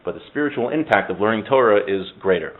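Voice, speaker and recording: A man's voice: on the low side, lively intonation, quick pace, phone-quality sound. A man teaching an informal religious class, making his points with emphatic repetition and rhetorical questions to his students.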